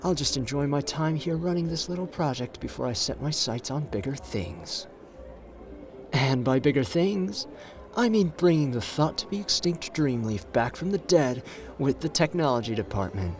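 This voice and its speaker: A man's voice: on the high side, expressive, natural voice. A man playing a suave, flirtatious, confident gambler in an ASMR roleplay audio drama, teasing and intimate in the way he talks. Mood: smooth, relaxed. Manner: teasing, intimate, drawn-out phrasing with pet names.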